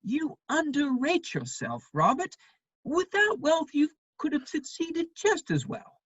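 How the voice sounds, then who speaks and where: A woman playing a worldly, witty gentleman counselling a friend in a dramatic play reading, with wry, measured phrasing. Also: high voice, very animated, no echo, muffled recording, full character voice. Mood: wry, reassuring.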